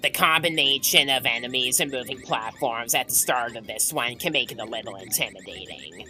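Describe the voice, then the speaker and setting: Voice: mid-range voice, a little fast, pushed and bright. A video game commentator giving casual gameplay commentary, explaining things and adding personal opinions. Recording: game music behind the voice. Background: video game music.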